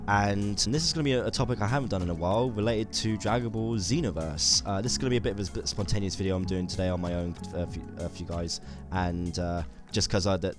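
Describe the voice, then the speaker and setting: A man with a very low voice and a sore throat talks through a game trailer in a loose, rambling way, often restarting his sentences. Faint music plays underneath him. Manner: rambling, many restarts and fillers. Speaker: YouTube gaming commentator. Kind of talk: casual video commentary.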